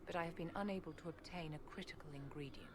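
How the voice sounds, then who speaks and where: Poised, condescendingly polite court sorceress female actor, deep for a woman, fantasy game with faint background noise. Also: reverberant, natural voice. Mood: poised, courtly. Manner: refined, measured, condescendingly polite. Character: poised, imperious aristocratic female court enchantress. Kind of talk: fantasy video game dialogue.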